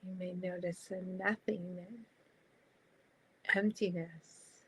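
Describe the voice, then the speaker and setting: Woman doing guided meditation, really slow and calm, lowish voice, lots of space between phrases. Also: a little hiss or hum, poor audio. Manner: soft, unhurried, with long pauses between short phrases.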